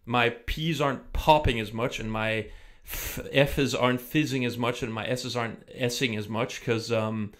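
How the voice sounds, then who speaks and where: A man's voice: mid-range voice, fairly even intonation, unhurried, a bit bright. A man hosting a live music stream, chatting casually and rambling, often breaking off mid-sentence, into a studio microphone with no pop filter. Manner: rambling, self-interrupting, chatty. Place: room in Germany. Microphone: studio microphone without pop filter.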